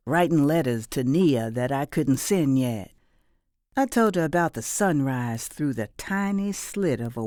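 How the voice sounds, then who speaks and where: An actress playing a reflective, weary but resilient former prisoner and mother in middle age, delivering an intimate, deliberate audio drama monologue. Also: a bass voice, mellow, no echo, clean studio sound, full character voice. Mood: hopeful, resolute.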